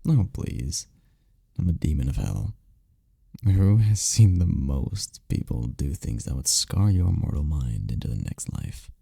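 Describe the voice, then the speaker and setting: Man voicing a deep, gravelly, tender incubus boyfriend. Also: big pitch swings, unhurried, no room sound, clean studio sound, heavily acted. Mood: tender, reassuring. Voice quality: gravelly, dark low voice. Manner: soft, soothing, teasing, lapses into whispers. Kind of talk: intimate ASMR roleplay, comforting. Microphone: close microphone, dry.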